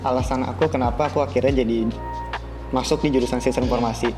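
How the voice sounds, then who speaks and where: A man's voice: medium pitch, steady tone, fast. A young man, a student guest chatting casually in an interview. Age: young adult. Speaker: podcast guest, university student. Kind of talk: casual chat. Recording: music playing under the voice. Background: background music.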